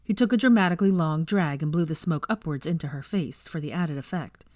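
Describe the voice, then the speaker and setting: Female story narrator, low register, dry close recording, scripted fiction reading. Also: a little fast, a little mellow, no room sound.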